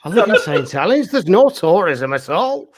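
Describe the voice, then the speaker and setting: A man's voice: high voice, very animated, normal speed, breathy edge. A man from England chatting casually and wryly as a panel guest. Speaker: panel guest, pantomime dame performer. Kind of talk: casual anecdotal chat.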